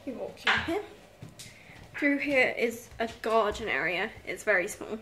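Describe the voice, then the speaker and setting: A woman's voice: on the high side, lively intonation, measured pace. A young woman with a British accent chatting and teasing casually as she gives a handheld vlog tour indoors. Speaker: vlogger giving a house tour. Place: indoors, rooms of a holiday house. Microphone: handheld phone or camera. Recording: noisy handheld phone recording.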